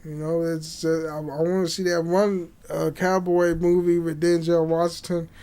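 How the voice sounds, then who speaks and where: A young man hosting a podcast, chatting casually and haltingly, with repeated words and frequent swearing. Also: a little high, little pitch movement, dark tone, clean tone. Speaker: podcast host. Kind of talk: casual rambling chat with swearing. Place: small home room indoors. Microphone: condenser mic on boom arm.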